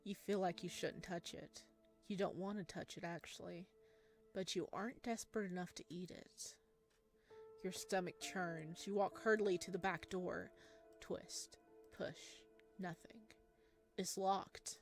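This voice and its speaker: A solemn horror storyteller, voiced by a woman, unfolding a creepy visual novel in slow, hushed, suspenseful phrases. Music plays underneath. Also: lowish voice, fairly even intonation. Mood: uneasy, suspenseful. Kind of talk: dramatic visual novel narration. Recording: music behind the voice.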